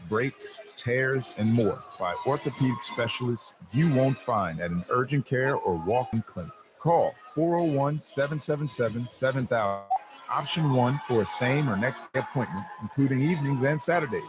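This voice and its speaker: A man's voice: mid-range voice, rough recording. A man, a coach acting as spokesman, reading a scripted radio ad. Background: music.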